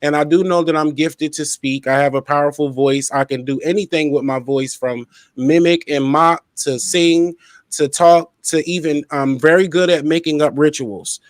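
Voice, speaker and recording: A man's voice: on the high side, steady tone, normal speed, dry recording. An outspoken, playful man hosting a casual interview and talking about himself. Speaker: interviewer, show host.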